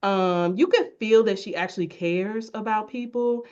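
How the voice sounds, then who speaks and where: A woman's voice: medium pitch, normal speed, clear, resonant tone. Chatty woman hosting, slightly muffled sound. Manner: warm, rambling, self-correcting with many fillers. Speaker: podcast host and business owner. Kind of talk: casual interview chat.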